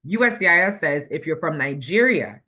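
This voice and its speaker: A woman's voice: deep voice, lively intonation, normal speed, pushed and bright. A woman speaking as a guest attorney, explaining things plainly and step by step, repeating key points for clarity. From Ghanaian background. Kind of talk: explanatory interview talk.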